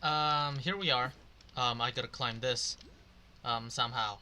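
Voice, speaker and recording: A young man commentating casually on a game. His voice is bright and middle-pitched, and he talks slowly in slangy, muttered asides, with faint noise behind him. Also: steady tone. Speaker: gaming streamer reacting to a story quest.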